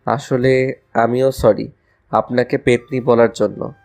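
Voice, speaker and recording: A man's voice: mid-range voice, steady tone, slow, gravelly, darkish tone, soft background music. A man playing a cheeky, lovestruck college student with a flippant, teasing way of talking in an audio love story. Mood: polite.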